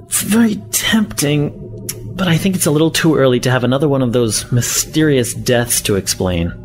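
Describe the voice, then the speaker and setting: A man acting a villainous professor in an audio drama, in a mid-pitched male voice. He is condescending and impatient, with a mock-friendly menace. Music plays softly underneath. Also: animated, normal speed, close to an everyday voice. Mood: irritated, scornful.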